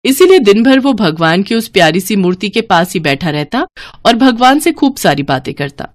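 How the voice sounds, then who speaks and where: A woman's voice: medium pitch, big pitch swings, normal speed. A woman narrating a scripted children's moral story in a storyteller's style.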